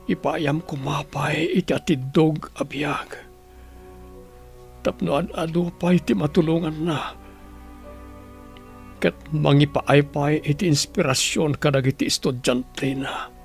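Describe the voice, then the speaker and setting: A man's voice: a little high, slow pace, creaky, long pauses, soft background music. A man playing an earnest, anguished doctor in a radio drama, with solemn, pleading delivery. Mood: anguished, prayerful. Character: anguished adult male doctor, earnest, insistent. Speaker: voice actor.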